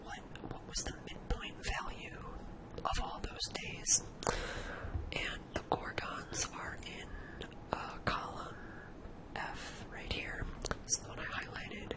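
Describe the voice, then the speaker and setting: A person giving an ASMR software lesson in a breathy, unvoiced whisper, explaining step by step in an instructional way. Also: slow, rough recording. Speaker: ASMR tutorial host.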